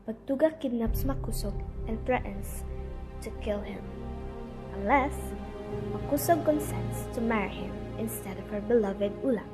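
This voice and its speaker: A woman's voice: fairly high pitch, moderate pace. A woman giving scripted storytelling narration for an amateur radio drama. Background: music.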